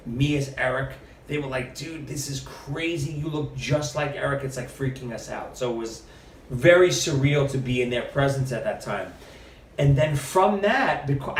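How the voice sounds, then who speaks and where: A middle-aged man, a podcast guest, telling stories in a casual, animated way and acting out what other people said. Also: medium pitch, a little hiss or hum. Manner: animated storyteller, quotes others with lively shifts. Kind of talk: casual storytelling interview. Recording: roomy, mic at a distance.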